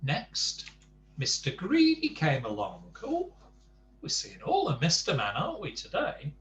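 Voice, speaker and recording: Animated, unhurried man reading a bedtime story. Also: a little high, roomy sound, slight background noise. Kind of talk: children's storytelling with chatty asides.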